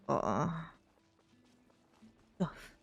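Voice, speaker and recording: A woman's voice: drawn-out words, dry recording, soft background music. A woman giving casual live gameplay commentary as a streamer, musing aloud and trailing off. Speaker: game streamer.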